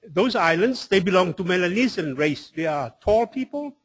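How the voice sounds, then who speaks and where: Male presenter, Tongan accent, high-pitched husky delivery, public talk. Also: expressive, normal speed. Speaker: presenter at a community meeting.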